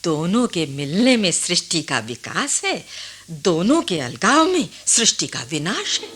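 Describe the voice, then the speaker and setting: A woman acting an old village grandmother in a Hindi radio drama, calm and philosophical, speaking in a measured, reflective way with dramatic pauses. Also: fairly low pitch. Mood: philosophical, assured. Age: older.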